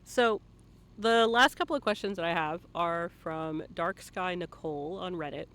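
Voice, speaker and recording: High-pitched man hosting a casual chat. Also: moderate pace, pushed and bright. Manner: playful, laughs readily. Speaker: interviewer, stream host. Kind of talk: casual live interview chat.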